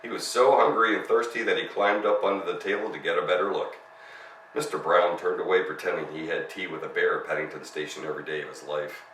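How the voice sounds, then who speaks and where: A man's voice: deep voice, fairly even intonation, fast, fairly bright tone. A man narrating a children's story as a storyteller, reading expressively. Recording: roomy, distant mic, faint noise.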